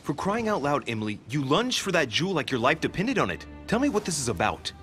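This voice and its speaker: Casting: eager young gentleman sleuth played by a man, lowish voice, teasing and earnest, video game drama over prominent music. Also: lively intonation, moderate pace, lightly acted. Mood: exasperated. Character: eager, inquisitive young gentleman investigator searching a manor. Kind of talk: video game cutscene acting.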